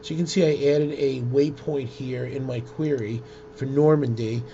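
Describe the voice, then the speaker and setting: Male tutorial presenter, informal and hesitant with a creaky voice; dull recording with heavy noise. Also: medium pitch, steady tone. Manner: hesitant, halting delivery with frequent ums. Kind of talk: informal screen-share software demonstration. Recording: dull lo-fi audio with loud noise.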